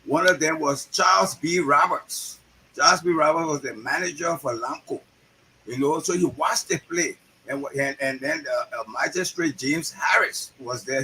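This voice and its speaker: A man in his sixties with a Liberian accent, a playwright telling stories in an interview, animated and often repeating words. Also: fairly high pitch, slight background noise, low-quality recording. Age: 60s. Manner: animated, repeats words, acts out quoted voices. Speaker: guest, playwright. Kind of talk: storytelling interview talk.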